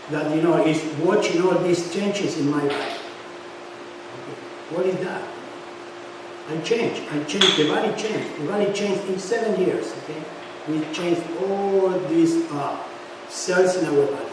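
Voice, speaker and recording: Man lecturing in an echoey temple hall. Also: fairly high pitch. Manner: halting, many fillers; leads call-and-response chanting. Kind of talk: sermon. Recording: echoey hall, mic at a distance, noisy.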